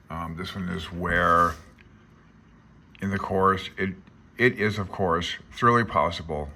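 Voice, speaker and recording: A man with a very deep voice that still has a bright, forceful edge. He talks like an informal instructor, alternating between reading aloud and explaining in his own words. His delivery is folksy and offhand, as if thinking out loud. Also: creaky, slight background noise. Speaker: instructor reading through a radio handbook.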